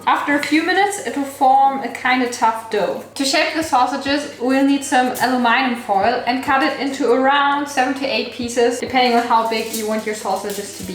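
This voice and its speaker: A woman's voice: a little high, roomy sound. German woman hosting a cooking vlog. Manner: chatty, laughs at herself. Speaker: cooking channel host. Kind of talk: recipe walkthrough vlog.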